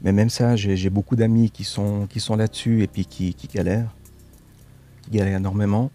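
A man speaks as a radio guest, slightly low and warm in tone. He hesitates, restarts and repeats words, letting phrases trail off softly. Quiet music sits faintly underneath. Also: flat delivery, brisk. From Switzerland. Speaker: actor, studio guest. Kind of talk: radio interview.

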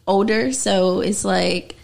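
Woman in her twenties, from North Carolina, podcast host, upbeat slangy chat, gravelly edge. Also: medium pitch, slow, a little mellow, slight background noise. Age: 20s.

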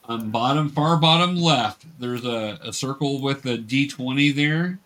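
A man's voice: medium pitch, a little slow, a bit bright. A man acting as game master, casually and patiently explaining the rules of a tabletop game step by step.

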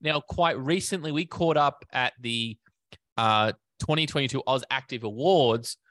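A man's voice: medium pitch, measured pace, fairly bright tone, dry recording. A man hosting a fitness business podcast, talking casually with many fillers and restarts. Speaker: podcast host. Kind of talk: casual interview.

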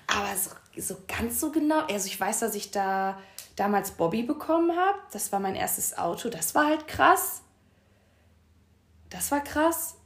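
A woman in her late twenties talks casually on a podcast. She is reflective and laughs easily. Her voice is middle-pitched and carries a noticeable room echo. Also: expressive, a little slow. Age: 20s. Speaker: podcast co-host. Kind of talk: casual chat. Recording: roomy echo.

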